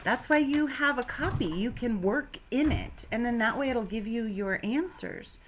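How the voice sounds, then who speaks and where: A woman's voice: a little high, lively intonation, moderate pace, slight background noise. A woman teacher giving a read-aloud science lesson to children, with simple, instructive phrasing addressed to her pupils. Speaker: elementary school teacher.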